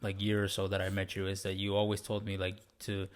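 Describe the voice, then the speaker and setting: A man hosting a podcast, who welcomes listeners politely and asks his guest measured, structured questions. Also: low-pitched, completely flat delivery. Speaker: podcast host.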